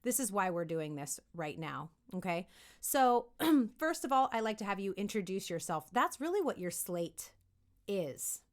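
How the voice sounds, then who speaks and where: A woman giving an upbeat, conversational lesson as a voice acting coach, animated and encouraging, often checking in with listeners. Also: medium pitch, unhurried. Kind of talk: upbeat instructional talk to camera.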